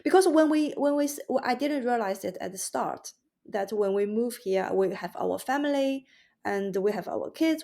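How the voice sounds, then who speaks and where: A woman's voice: fairly high pitch, vocal fry, a little mellow. Hesitant Mandarin-accented woman guest. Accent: Mandarin Chinese. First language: Mandarin Chinese. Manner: halting, many restarts and fillers. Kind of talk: podcast interview.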